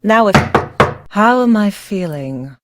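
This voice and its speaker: A woman's voice: medium pitch, animated, slow pace, fairly bright tone, no echo, studio quality. A young woman playing a secretive, fearful young bride in a video game, speaking in a weary, brooding, dramatic way.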